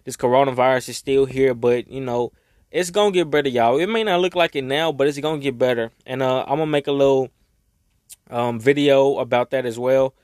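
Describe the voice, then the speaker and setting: A young man talking casually and emotionally to his viewers, rambling and swearing, his voice raspy from crying. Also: medium pitch, brisk, darkish tone, no room sound, studio quality. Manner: rambling, swearing, heartfelt, Southern US slang. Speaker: vlogger. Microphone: own microphone.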